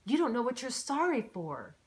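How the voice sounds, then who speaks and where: A woman's voice: on the high side, sing-song, normal speed, pitched above the performer's own voice. A woman voicing a menacing, angry male attacker with an accusing, aggressive delivery in an audiobook.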